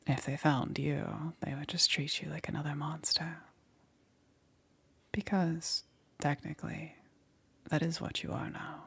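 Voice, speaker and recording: A woman plays a soft-spoken witch in an ASMR roleplay, coaxing and soothing. Her low voice is very breathy and often drops to a whisper, with a creaky edge. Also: level tone, exaggerated voice. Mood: coaxing, knowing. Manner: slow, hushed, soothing and gently persuasive. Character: soft, coaxing witch, a caring self-confessed monster.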